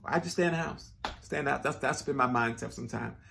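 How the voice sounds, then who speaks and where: A man's voice: medium pitch, quick pace, creaky, pushed and bright, a little hiss or hum. A man talking casually to his viewers, earnest and rambling with many restarts, sounding a bit nasal and stuffed up. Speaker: vlogger. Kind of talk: casual personal talk to camera.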